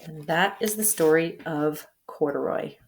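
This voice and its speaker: Teacher reading a picture book aloud to children, expressive, engages the listener with questions. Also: female voice, low voice, measured pace, creaky, a little hiss or hum.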